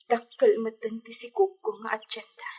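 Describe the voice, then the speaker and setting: A woman's voice: a little high, steady tone, normal speed, darkish tone, slightly rough. A woman with a Filipino accent acting an anxious, emotional pregnant young wife in a radio drama. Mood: brooding, worried. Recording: background music under voice. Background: music.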